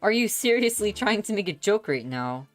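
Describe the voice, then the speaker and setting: A woman's voice: medium pitch, sing-song, moderate pace. A woman reading a story aloud on a stream with dramatic, playful expression. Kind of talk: expressive live story narration. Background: background music.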